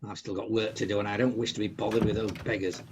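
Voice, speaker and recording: An actor playing a miserly, bad-tempered old businessman in a comic radio drama, husky, sarcastic and blustering. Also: lowish voice, flat delivery, fast, a little hiss or hum, close to an everyday voice. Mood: irritable nagging.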